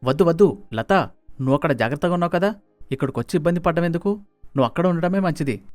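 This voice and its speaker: A man's voice: fairly high pitch, animated, quick pace, darkish tone, no echo, soft background music, natural voice. A man acting a worried, considerate family man in a moral story, speaking in an earnest, insistent way. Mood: worried, urgent. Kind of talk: dramatized moral story dialogue.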